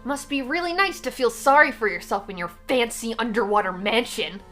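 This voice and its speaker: Casting: woman to play defiant young alien outcast girl, high voice, guarded and sarcastic, casual delivery, comic fan dub over music. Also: fairly quick, bright, forceful tone.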